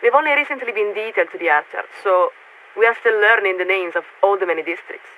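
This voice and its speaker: A woman playing a polite, detached warship officer in a video game, speaking formally and with measured hesitation through a radio filter. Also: medium pitch. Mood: polite, observant. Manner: formal, measured, hesitant when searching for words. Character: polite, detached female warship crew officer surveying a city. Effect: military radio transmission filter. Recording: tinny narrowband radio with hiss.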